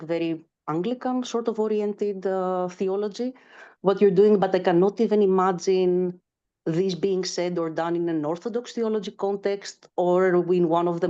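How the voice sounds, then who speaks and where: Woman asking a question on a video call, hesitant, lowish dark voice, dull audio. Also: clear, resonant tone. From Greece. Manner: hesitant, searching for words. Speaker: webinar audience member asking a question. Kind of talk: spoken question in a webinar.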